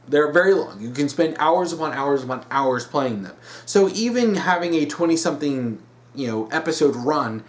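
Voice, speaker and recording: A man giving emphatic opinion commentary as a video host, repeating words for stress. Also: on the high side.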